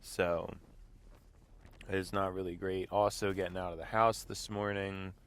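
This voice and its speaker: A man hosting his own show, talking casually while driving, with a congested voice from a mild illness. He rambles and keeps clearing his throat. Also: sits low, flat delivery, unhurried. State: congested, slightly sick voice. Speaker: vlog host. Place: inside a car.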